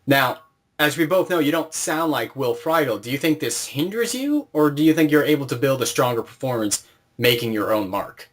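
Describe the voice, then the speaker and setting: A man's voice: medium pitch, lively intonation, normal speed. A man conducting a casual interview, explaining things informally as the interviewer. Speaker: interviewer and series creator.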